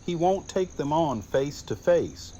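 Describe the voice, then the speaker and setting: A man's voice: fairly high pitch, lively intonation, a little slow, mellow, a little hiss or hum. A man playing a grim, resolute fighter in an audio drama, wary and blunt. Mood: grim, urgent. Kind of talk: fan audio drama acting.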